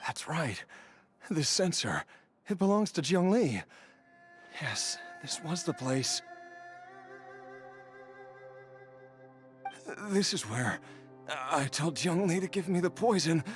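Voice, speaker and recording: A man playing an ailing but determined game character searching for a loved one, speaking in a halting, laboured way. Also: fairly high pitch, very animated. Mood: pained, determined. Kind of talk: dramatic video game voice acting. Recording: music behind the voice.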